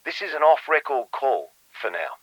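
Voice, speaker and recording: An actor playing a grave, conspiratorial foreign minister of Australia, measured, formal and urgent in an audio drama. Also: male voice, medium pitch, sing-song, dry recording, dull audio.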